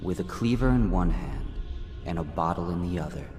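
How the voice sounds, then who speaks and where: A man playing a detached, mysterious supernatural observer in a game, measured and coolly taunting. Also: deep voice, lively intonation, a little mellow, faint music behind. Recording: echoing, long reverb.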